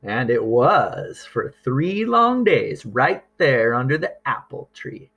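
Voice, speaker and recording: Slow-reading male storyteller with a slightly husky voice. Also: mid-range voice, big pitch swings, fairly bright tone, lo-fi sound. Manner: deliberate, with drawn-out words and dramatic pauses. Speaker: storyteller reading a picture book aloud. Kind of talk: expressive read-aloud for young children.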